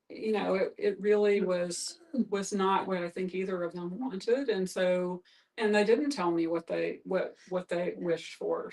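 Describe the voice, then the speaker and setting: Low, creaky-voiced woman, distant mic. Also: a little mellow. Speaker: discussion facilitator. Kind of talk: reflective group discussion.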